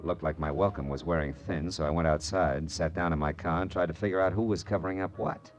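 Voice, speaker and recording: Male actor as hard-boiled American gumshoe, sardonic and menacing, deep register, vintage radio drama over music. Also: steady tone, quick pace, lightly acted. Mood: grim, wry. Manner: clipped, wisecracking, menacing. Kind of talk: 1940s radio detective acting and first-person narration.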